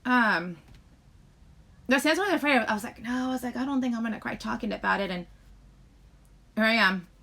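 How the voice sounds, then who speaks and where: A woman hosting a casual live chat, rambling to viewers in a halting way, often breaking off mid-sentence. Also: on the high side, vocal fry, sharp tone. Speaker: live stream host chatting with viewers.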